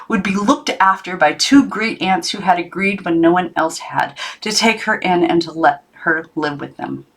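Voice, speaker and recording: A woman reading a children's novel aloud as an audiobook narrator, in an expressive storybook style. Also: on the low side. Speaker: audiobook reader.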